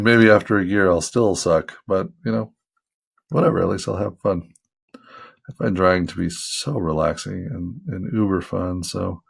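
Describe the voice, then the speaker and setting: A man's voice: low voice, gravelly, slightly rough. A man casually talking to viewers while he draws, rambling and self-deprecating, with a soothing voice and many fillers. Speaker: hobby artist vlogger. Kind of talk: casual talk while drawing.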